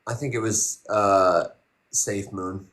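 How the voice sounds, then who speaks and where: A man co-hosting a casual chat, relaxed and a little hesitant. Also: lowish voice, slow pace, a little hiss or hum. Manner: relaxed, hesitant, uses fillers. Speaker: co-host.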